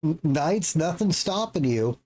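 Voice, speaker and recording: A man's voice: fairly high pitch, expressive, measured pace, a little mellow. A man streaming a game live, reacting with jokes and swearing and working out numbers aloud. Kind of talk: casual live-stream commentary.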